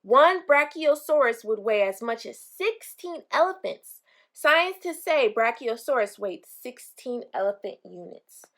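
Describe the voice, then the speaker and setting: A woman reading a children's picture book aloud, pausing to explain things simply to young listeners. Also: a little high, big pitch swings, fairly bright tone. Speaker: read-aloud storyteller for children.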